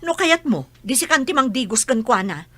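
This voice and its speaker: Defensive elder sister for village radio drama, played by a woman: Ilocano accent, sharp and a little high, always complaining. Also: big pitch swings, normal speed, full character voice. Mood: annoyed. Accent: Filipino, Ilocano.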